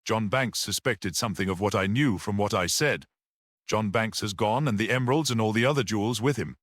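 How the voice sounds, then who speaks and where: A man voicing a gentle, shrewd priest detective in a story, speaking simply and earnestly with quiet certainty. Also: fairly low pitch, a little fast, dry recording, studio quality. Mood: quietly certain.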